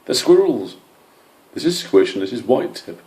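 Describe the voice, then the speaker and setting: A man's voice: medium pitch, big pitch swings, normal speed, mellow, pitched above the performer's own voice, lightly acted. Man playing Brian, an earnest young badger. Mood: proud. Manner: earnest, polite.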